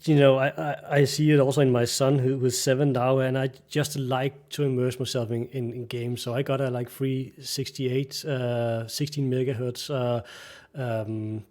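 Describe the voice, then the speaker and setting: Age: middle-aged. Sex: man. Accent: Danish. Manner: halting, restarts often, searches for words. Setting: video call from a bright home room. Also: mid-range voice, flat delivery. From Denmark. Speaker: guest. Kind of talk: casual interview chat.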